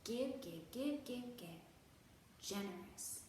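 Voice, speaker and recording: A woman's voice: fairly high pitch, expressive, a little mellow. A woman hosting a children's storytime, teaching young viewers in an encouraging manner and carefully stretching out key words. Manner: encouraging, exaggerated slow enunciation of key words. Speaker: children's library storytime host. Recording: very echoey room, distant mic, faint noise.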